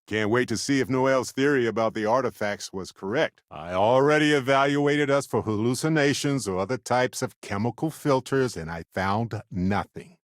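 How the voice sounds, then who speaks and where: A man's voice: medium pitch, no room sound, studio quality, natural voice. A man voicing a wry, good-hearted space explorer companion in a video game, conversational and earnest.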